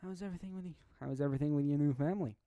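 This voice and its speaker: A man's voice: on the high side, racing, dark tone, no echo, pitched above the performer's own voice, natural voice. A man voicing a cheerful, sweet little girl.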